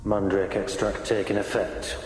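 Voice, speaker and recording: An actor playing a controlled squad soldier in a game, coolly in charge, with terse, matter-of-fact delivery. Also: male voice, lowish voice, flat delivery, moderate pace, close to an everyday voice. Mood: cool, offhand. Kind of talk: dramatic game voice acting. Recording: very echoey flashback, faint noise, ambience.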